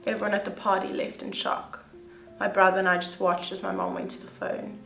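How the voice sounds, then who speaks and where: A young woman reading a first-person story aloud in a steady, even way. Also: mid-range voice, fairly quick, gravelly. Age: young adult. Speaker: youth reader. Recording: echoey room with soft guitar music.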